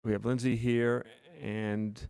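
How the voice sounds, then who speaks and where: A man chairing a city council meeting, running votes and nominations in a measured, procedural way. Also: mid-range voice, little pitch movement, creaky, warm tone.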